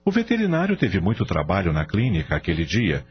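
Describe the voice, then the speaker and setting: Low, animated male narrator. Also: a little fast. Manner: expressive storytelling. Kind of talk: scripted radio drama narration. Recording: dull lo-fi audio, faint music.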